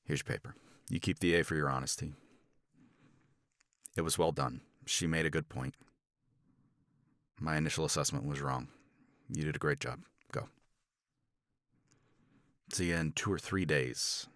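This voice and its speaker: A blunt, proud college professor, acted by a man for a romance ASMR roleplay, with a very deep, creaky voice. He talks slowly and articulately with a sardonic, halting edge, recorded close and dry. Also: fairly bright tone, no room sound. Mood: probing, grudging praise. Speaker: voice actor in audio roleplay.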